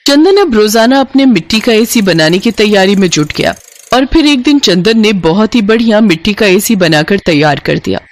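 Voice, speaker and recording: A woman's voice: medium pitch, sing-song, normal speed. A woman narrating a scripted children's moral story.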